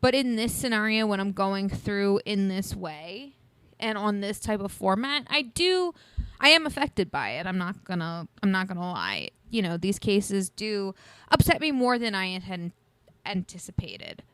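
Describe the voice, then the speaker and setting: A woman in her early thirties talks in a loose, rambling way, laughing between thoughts and sounding a little congested. Her voice is smooth and clean, recorded dry and close with no room sound. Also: medium pitch, expressive, unhurried, fairly bright tone, no echo. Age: 30s. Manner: rambling, chatty, laughs often. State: sniffly, congested. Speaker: podcast co-host. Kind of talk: casual chat.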